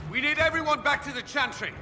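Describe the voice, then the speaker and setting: An actor playing an urgent military commander, barking forceful orders in a fantasy video game cutscene. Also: male voice, very high-pitched, quick pace, heavy background noise. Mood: urgent, rallying. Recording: loud music behind the voice.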